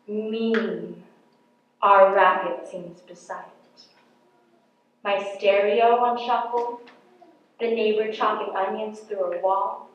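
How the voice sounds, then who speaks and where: A woman giving a dramatic poetry recitation, measured and expressive, with deliberate pauses. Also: medium pitch, a little hiss or hum. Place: large echoey indoor hall at a poetry competition. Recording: very echoey hall, distant mic, dull sound.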